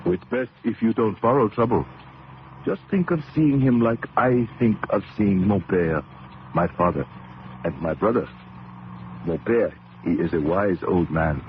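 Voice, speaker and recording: An actor playing a fatherly, superstitious Cajun mechanic with a Louisiana French accent, measured and folksy, in an old radio drama. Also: on the low side, dull audio, natural voice. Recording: band-limited noisy old radio recording.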